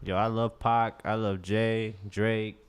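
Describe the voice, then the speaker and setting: Animated young rapper in his twenties. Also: fairly low pitch, slow, clear, resonant tone. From Queens, New York. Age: 20s. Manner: animated, acts out quotes, restarts often. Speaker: rapper guest. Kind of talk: casual interview chat. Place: studio.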